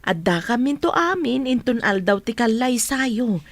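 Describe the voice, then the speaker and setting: A woman playing a loyal, warm and respectful friend in an Ilocano radio drama. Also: on the high side, sing-song, measured pace, no echo, heavily acted. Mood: supportive.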